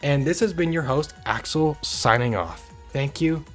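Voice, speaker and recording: A man's voice: a little high, a little slow. A man hosting a video review, delivering scripted commentary in an informal, opinionated way. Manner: informal, opinionated, occasional swearing. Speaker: host of a video review channel. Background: music under the voice.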